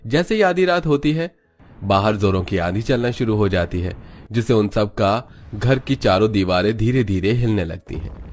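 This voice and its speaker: A man reading scripted narration for an animated family story. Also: lowish voice, normal speed, faint music behind.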